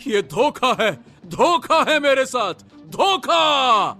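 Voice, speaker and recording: A man's voice: extremely high pitch, big pitch swings, slow pace, pushed and bright, soft background music, heavily acted. A man voicing a vain young cartoon king turned monster, desperate and wrathful, with a stammering, wailing, melodramatic delivery. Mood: betrayed fury. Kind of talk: animated Hindi moral-story acting.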